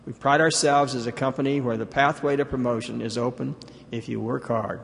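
A man's voice: medium pitch, fairly even intonation, brisk, creaky. An older man giving a formal, earnest prepared speech as a company executive in a large hall. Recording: reverberant hall.

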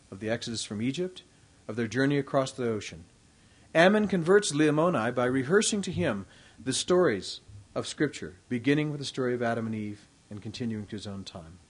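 A man delivering a formal, prepared devotional address at a university, reading from his text in a measured way. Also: medium pitch.

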